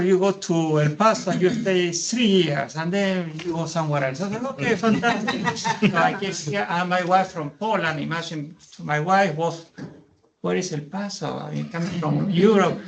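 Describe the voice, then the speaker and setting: A gravelly, somewhat high-voiced man in his fifties speaks English with an Argentine Spanish accent. He presents to a board in a lively, anecdotal way, telling stories and chuckling now and then. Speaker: community loan fund CEO presenting. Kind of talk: meeting presentation.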